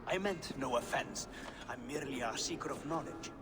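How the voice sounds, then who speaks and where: An actor playing a polite, evasive seeker of knowledge in a video game, apologetic and deferential. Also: male voice, mid-range voice, normal speed, natural voice. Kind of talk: video game cutscene acting. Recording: distant, reverberant, noisy ambience.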